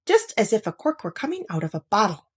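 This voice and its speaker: A woman reading a children's story aloud in an expressive narrating style. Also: medium pitch, fast, slightly rough. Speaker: story reader.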